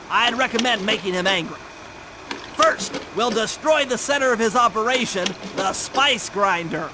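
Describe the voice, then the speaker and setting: A high, nerdy cartoon turtle lays out plans with fussy precision. He sounds like a proud little game strategist, with clear ambient noise behind him. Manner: precise, pedantic, wordy explaining. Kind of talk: video game cutscene acting.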